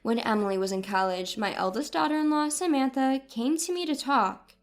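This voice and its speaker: A woman reading a scripted first-person story in an expressive, dramatized way. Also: high voice, a little fast, faint music behind. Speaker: story narrator.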